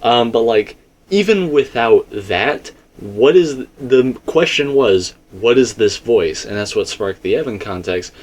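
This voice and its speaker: A man's voice: medium pitch, animated, measured pace. A man hosting a casual, speculative discussion, talking in a rambling, stop-and-start way and often correcting himself. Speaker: host of a game theory discussion.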